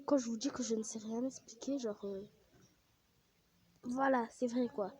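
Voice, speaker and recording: A woman's voice: on the high side, fairly even intonation, moderate pace, dark tone. A young woman with a cold chats casually and rambles with many fillers while she plays a game. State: has a cold, coughing. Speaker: gaming vlogger.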